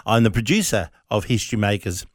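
A man's voice: fairly low pitch, lively intonation, a little fast, no room sound. Man, radio host, chatty, friendly, dry close sound. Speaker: radio show producer and stand-in host. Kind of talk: interview hosting.

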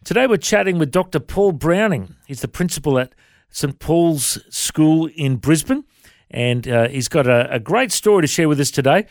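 A man's voice: fairly high pitch, expressive, normal speed, no room sound, studio quality. A man with an Australian accent hosting an interview in an easygoing, chatty way with light joking. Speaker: podcast host.

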